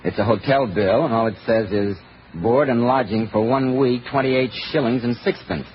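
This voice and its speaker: An actor playing a polite announcer and host who visits a storyteller, in a 1940s radio style. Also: male voice, mid-range voice, normal speed, a little hiss or hum, lightly acted. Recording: muffled old radio broadcast.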